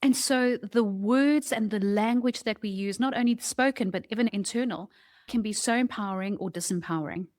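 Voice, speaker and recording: A woman's voice: medium pitch, a little fast, a little mellow, no room sound. A woman co-hosting a podcast, talking candidly and reflectively in a conversational style. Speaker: podcast co-host.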